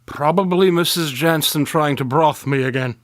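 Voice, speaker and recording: An actor playing a pompous, melodramatic, tipsy detective in a radio drama, wordy and theatrical. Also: male voice, a little high, normal speed, no room sound, clean studio sound, lightly acted. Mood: melodramatic despair. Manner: theatrical, wordy, self-important.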